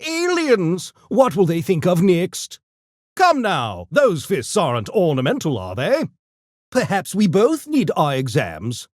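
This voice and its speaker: An actor voicing a smug, erudite genius gremlin for a video game, with pompous, mock-genteel, theatrical diction that tips into gleeful mania. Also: male voice, high-pitched, huge pitch swings, slow pace, no room sound, clean studio sound, full character voice. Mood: smug, taunting. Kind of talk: video game character voice lines.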